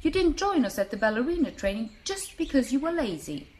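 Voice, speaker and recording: A woman voicing a brisk, cheerful young rabbit in a storybook, lecturing emphatically. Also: sits high, sing-song, a little mellow, slight background noise. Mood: scolding.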